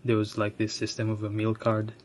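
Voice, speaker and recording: A young man from Ethiopia telling his own story, soft-spoken and reflective, with frequent restarts and light laughs. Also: on the low side, completely flat delivery, fairly quick. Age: young adult. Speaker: interviewee sharing his immigrant story. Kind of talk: personal storytelling.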